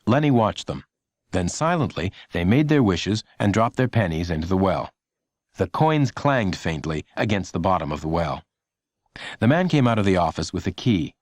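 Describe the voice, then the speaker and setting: Expressive man narrating a children's story. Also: deep voice, moderate pace, no room sound, clean studio sound. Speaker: audiobook narrator.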